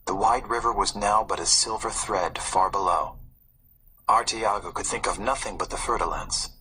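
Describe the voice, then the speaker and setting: Husky, bright-voiced man narrating dramatically. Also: on the low side, rough recording. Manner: suspenseful, expressive delivery. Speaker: audiobook narrator. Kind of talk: dramatic scripted storybook narration.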